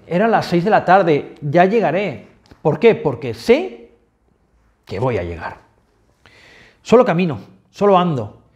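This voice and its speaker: A man's voice: a little high, darkish tone, muffled recording. Chatty Spanish man recounting his pilgrimage. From Spain. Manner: chatty, self-correcting, addresses viewers directly. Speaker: pilgrim vlogger. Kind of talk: reflective travel narration.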